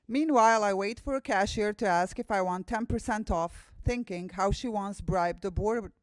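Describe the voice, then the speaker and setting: A woman's voice: mid-range voice, no room sound. A woman with an Albanian accent, a native Albanian speaker, giving a public talk and poetry reading as a guest poet. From Kosovo. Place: room with a live audience.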